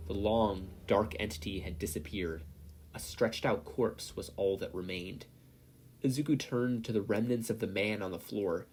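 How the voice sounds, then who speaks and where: Guy reading fan fiction out loud, lowish husky voice, scripted narration. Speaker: podfic narrator.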